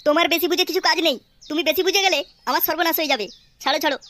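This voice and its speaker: Woman as squeaky fast-talking cheeky cartoon boy. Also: a bit bright. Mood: evasive. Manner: boastful, teasing. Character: cheeky boastful boy, Futo, showing off. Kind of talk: Bengali comedy cartoon voice acting.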